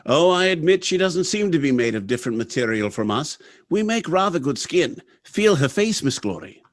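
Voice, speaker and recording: A man's voice: a little high, big pitch swings, normal speed. An actor playing a proud, unsentimental robot factory manager in an old-time radio drama, theatrical and confident as he explains things. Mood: insistent. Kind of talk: live recreation of 1930s radio drama.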